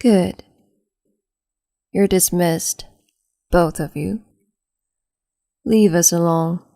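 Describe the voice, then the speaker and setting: A woman voicing a cruel, capricious empress in an ASMR roleplay, speaking slowly and mockingly and slipping into whispers. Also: fairly low pitch, gravelly, dark tone, no echo, clean studio sound. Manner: slow, mocking, condescending, drifts into whispers.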